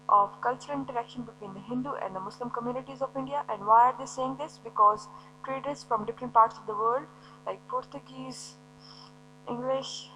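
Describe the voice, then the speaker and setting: Woman lecturer, fairly high, bright voice, explains step by step, faint hum underneath. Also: rough recording. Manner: explanatory, poses and answers her own questions. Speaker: teacher. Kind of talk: recorded classroom lecture.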